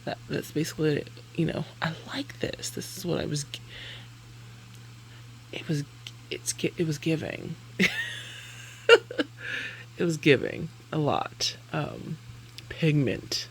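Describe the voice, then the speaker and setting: A woman's voice: deep voice, expressive, takes it slowly, gravelly, pauses often, a little hiss or hum, rough recording. A woman chatting casually through a makeup review, rambling and correcting herself, laughing between sentences. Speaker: beauty vlogger.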